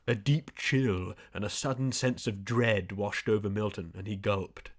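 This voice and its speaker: A man's voice: lowish voice, fairly even intonation, unhurried. A man reading scripted narration for a comic fantasy audiobook.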